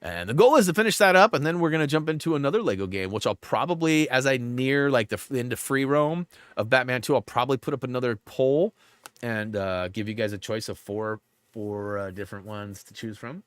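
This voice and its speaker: A man's voice: mid-range voice, a little fast, fairly bright tone, smooth, dry recording. A man hosting a gaming livestream in a rambling, casual way, full of fillers, and a bit stuffed up. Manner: rambling, filler-heavy, lots of "like". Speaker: livestream host.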